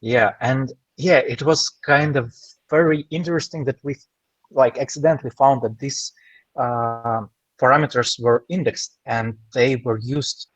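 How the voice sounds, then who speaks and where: A man's voice: medium pitch, fairly even intonation, a little slow, no room sound. A man taking part in an online roundtable, explaining an experience hesitantly with many fillers over a video call. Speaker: roundtable participant. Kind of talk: casual online roundtable chat. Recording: dull dry call audio.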